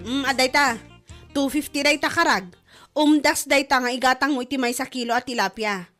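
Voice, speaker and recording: A woman's voice: sits high, a little fast, bright, forceful tone, faint music behind. An actress playing a bossy, teasing woman in a comedy drama, speaking with an Ilocano accent. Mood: nagging.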